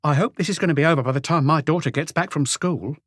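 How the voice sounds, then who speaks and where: A man's voice: a little high, fast, a bit bright, no room sound, studio quality, pitched above the performer's own voice. A man voicing a suspicious, ill-tempered, defiant housewife who fires indignant questions in an audiobook. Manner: snappish, indignant, challenging questions. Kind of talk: audiobook character voice.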